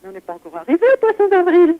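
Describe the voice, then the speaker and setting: Very high-pitched woman, animated intonation, bewildered, polite, hesitant, phone conversation. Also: rapid-fire, dry recording. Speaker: prank call victim. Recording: dull lo-fi telephone line.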